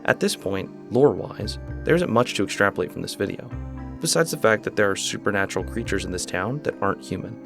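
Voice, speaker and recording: A man's voice: fairly low pitch, fast talker, gravelly. A man hosting a video, casually breaking down a horror series in a conversational manner. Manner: relaxed, conversational, enthusiastic asides. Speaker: YouTube host explaining a horror series. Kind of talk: casual analysis commentary. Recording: voice-over with music behind.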